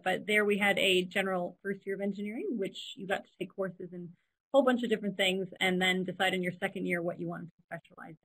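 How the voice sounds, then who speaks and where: A woman's voice: medium pitch, a bit bright, husky, low-quality recording. A young woman with a Canadian accent, giving a casual engineering talk over a video call, chatty with frequent ums. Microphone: laptop webcam on a video call.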